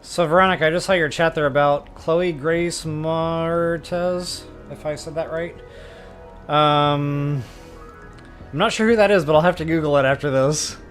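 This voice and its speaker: Chatty male game streamer, highish voice. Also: fairly even intonation, a little slow, a bit bright. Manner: chatty, rambling. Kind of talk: casual gameplay commentary. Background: game music.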